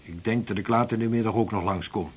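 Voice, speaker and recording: A man's voice: lowish voice, fast talker, a little hiss or hum, close to an everyday voice. A man acting in a historical radio play as a devout, determined Arctic explorer, speaking formally and with authority. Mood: commanding, impatient. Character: driven, devout 16th-century male Dutch Arctic explorer.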